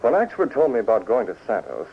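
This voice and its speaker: Confident masked crimefighter, mid-range voice, lively intonation, lo-fi 1940s radio serial. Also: male voice, mid-range voice, fast talker, vocal fry, breathy edge, heavily acted. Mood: explaining. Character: assured, shrewd male masked crimefighter and publisher. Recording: dull 1940s radio recording.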